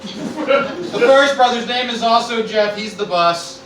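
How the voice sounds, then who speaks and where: A man's voice: extremely high pitch, takes it slowly. A man improvising a stumbling, repetitive comic mock trailer. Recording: echoey, distant, noisy live room.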